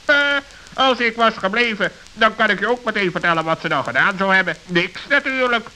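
Casting: clever conceited raven, high and very piercing, children's radio play, faint tape hiss. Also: male voice, expressive, brisk, sharp tone, full character voice. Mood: argumentative. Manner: argumentative, emphatic, conceited. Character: clever, self-satisfied male raven. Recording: hissy, crackly old audio recording.

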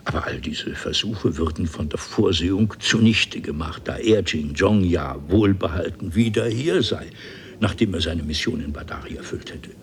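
An actor playing a haughty, eloquent nobleman from an ancient civilization, telling his story theatrically and deliberately in a 1970s German radio play. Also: sits low. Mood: condescending.

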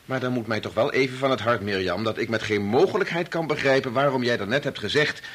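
An actor playing a touchy, argumentative married father in a Dutch radio play, blunt and sarcastic, with a very husky voice. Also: mid-range voice, fast, fairly bright tone. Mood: sarcastic, peeved.

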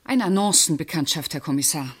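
An actress playing a pointed, suspicious witness under questioning in a radio crime drama. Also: female voice, sits low, a little fast, breathy edge. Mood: agitated, accusing. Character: pointed, suspicious female witness, friend of the victim. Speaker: actor.

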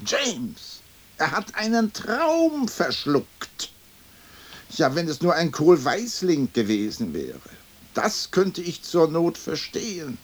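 Pompous, swooping, husky lord. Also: male voice, on the high side, wildly swooping pitch, slow pace, exaggerated voice. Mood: urgent. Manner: pompous, theatrical declamation. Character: haughty, indignant lord turning into a bird, vengeful. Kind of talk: stylized German radio play acting.

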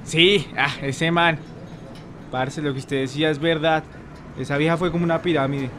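A man's voice: fairly high pitch, steady tone, moderate pace, bright, forceful tone, a little hiss or hum. A man playing a bitter, disappointed young Colombian jilted in love, complaining in slangy talk in a radio soap.

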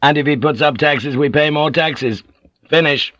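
A man's voice: fairly high pitch, normal speed, a bit bright, no echo, highs cut off, voice pushed up, lightly acted. A man voicing a stoic village headman who speaks in short, firm, laconic sentences.

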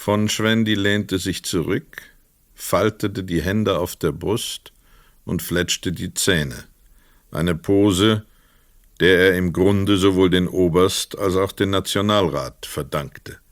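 A man narrating a literary radio play from a script in a measured, precise storytelling manner. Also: sits low, no room sound, studio quality.

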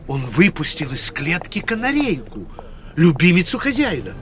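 A man's voice: on the high side, huge pitch swings, moderate pace, a bit bright. An actor in an archival radio play as an eager man breathlessly spreading theatre gossip. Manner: eager, chattering, exclaiming. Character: excitable male gossip spreading theatre news. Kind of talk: 1970s radio play acting.

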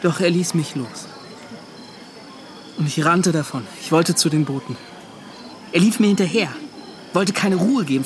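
High-voiced, tormented ship's officer. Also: male voice, fairly bright tone. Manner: agitated, pleading, halting then rushing. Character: tormented, defensive male ship's officer recounting a disaster. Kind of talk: radio drama acting, confessional monologue.

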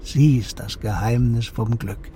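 Mellow, gentle wise old man played by an actor. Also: medium pitch, slight background noise, close to an everyday voice. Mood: serene, reflective. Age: older. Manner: slow, contemplative, tender phrasing. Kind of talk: radio drama acting.

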